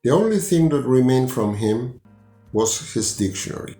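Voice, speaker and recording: A man in his sixties from Argentina, a native Spanish speaker with an Argentine Spanish accent, reading a personal testimony in a measured, deliberate way. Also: mid-range voice, animated, dark tone, roomy sound, faint music behind. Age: 60s. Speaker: language student.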